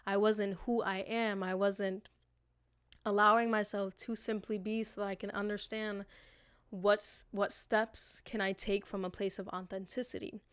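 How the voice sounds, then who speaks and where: Smooth-voiced woman podcasting, very dry sound. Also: mid-range voice, flat delivery, unhurried, fairly bright tone, dry recording. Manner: informal and slangy, swears freely; affectionate direct address to listeners. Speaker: podcast host. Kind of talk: casual, motivational self-reflection podcast monologue.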